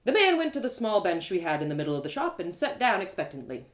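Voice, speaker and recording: A woman storyteller reading first-person fiction aloud in an expressive, theatrical way. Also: medium pitch, quick pace. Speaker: author and storyteller.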